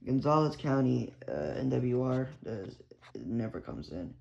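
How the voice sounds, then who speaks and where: Gravelly young Texan man rambling. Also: mid-range voice, very animated, a little slow, a little mellow. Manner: rambling, swears, frequent fillers and restarts. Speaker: radio hobbyist. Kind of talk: casual rambling monologue. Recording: lo-fi with background hiss.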